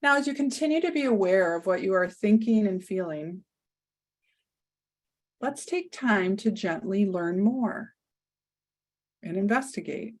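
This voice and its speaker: A woman leading a guided meditation, speaking softly and soothingly with long pauses. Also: mid-range voice, expressive.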